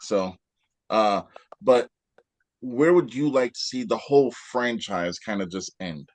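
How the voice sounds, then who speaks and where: A man's voice: medium pitch, a little slow, dry recording. A man hosting a casual interview, rambling with lots of fillers and restarts. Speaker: host.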